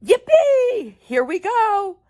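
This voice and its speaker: A woman voicing an excitable old grandfather who shouts in a shrill, panicky way. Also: measured pace, heavily acted. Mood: ecstatic.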